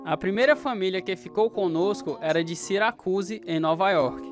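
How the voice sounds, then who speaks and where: An actor with a Brazilian accent playing a grown orphaned brother sadly recounting a hard childhood in a radio drama. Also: male voice, sits high. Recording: guitar music behind the dialogue.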